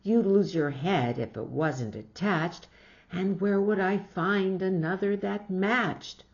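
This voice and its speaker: A woman's voice: mid-range voice, slow pace, darkish tone, highs cut off. A woman voicing an exasperated, scolding storybook mother in an emphatic way. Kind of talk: picture-book read-aloud.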